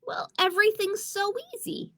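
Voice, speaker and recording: A woman's voice: very high voice, little pitch movement, normal speed, fairly bright tone, pitched above the performer's own voice. A woman voicing a confident, boastful young girl acrobat from a storybook, in a breezy, self-assured manner.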